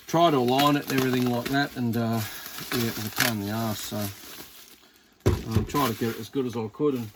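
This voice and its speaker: An Australian man giving casual hands-on commentary as a hobby reviewer, rambling informally and thinking out loud. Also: mid-range voice, vocal fry. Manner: rambling, informal, thinking aloud with mild swearing. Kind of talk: casual unboxing and test commentary. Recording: noticeable background noise.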